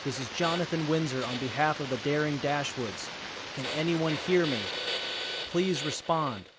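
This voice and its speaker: A man acting as an earnest, capable young survivor with a measured, methodical manner in a post-apocalyptic audio drama. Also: fairly high pitch, very noisy, lightly acted. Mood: hailing.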